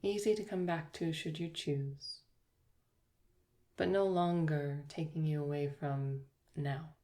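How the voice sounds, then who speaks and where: A woman yoga teacher guiding a yoga nidra relaxation, speaking slowly and softly with long pauses. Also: deep voice, steady tone. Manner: slow, soft, soothing guidance with long pauses.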